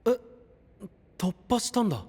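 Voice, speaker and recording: A man's voice: high voice, very animated, normal speed, a little mellow, breathy edge, full character voice. A man playing a caring, sensible young companion in a Japanese anime-style voice drama, youthful and earnest. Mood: puzzled.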